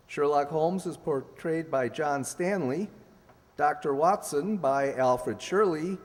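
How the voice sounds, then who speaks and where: High-voiced old-time radio announcer played by a man. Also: lightly acted. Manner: formal, presentational. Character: courteous, hearty male radio announcer visiting an old friend. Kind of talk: 1940s radio announcing, amateur re-enactment. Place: live stage in a hall. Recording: reverberant hall stage recording.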